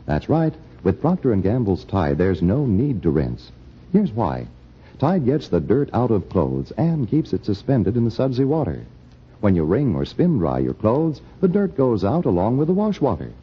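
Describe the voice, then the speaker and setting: Very animated, husky male radio announcer. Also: fairly low pitch, moderate pace. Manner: emphatic sales delivery. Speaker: commercial announcer. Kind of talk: vintage radio advertising pitch. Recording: muffled old radio recording.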